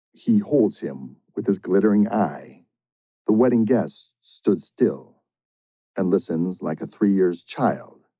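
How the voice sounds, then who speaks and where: A man giving a dramatic reading of a long narrative poem with a measured, theatrical delivery. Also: deep voice, mellow, breathy edge, dry recording. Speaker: reader.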